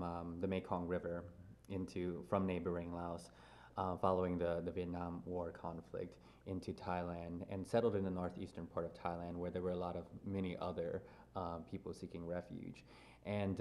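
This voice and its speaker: A man in his thirties, an interview guest who grew up in Louisiana, talking through his family background in a relaxed, thoughtful way with many pauses. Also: sits low, a little hiss or hum. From grew up in Louisiana, USA. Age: 30s. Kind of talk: casual interview.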